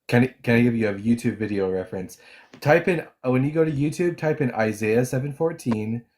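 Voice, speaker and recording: A man in casual conversation, talking quickly and eagerly as he recommends a video and a book. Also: mid-range voice, a little mellow. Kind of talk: casual chat.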